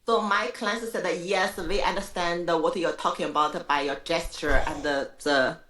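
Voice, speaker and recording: A woman with a somewhat low voice talks through her answers in careful, simple non-native English. She restarts her phrases often yet sounds frank and self-assured. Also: steady tone, moderate pace, fairly bright tone. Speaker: guest, real estate agent. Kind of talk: podcast interview.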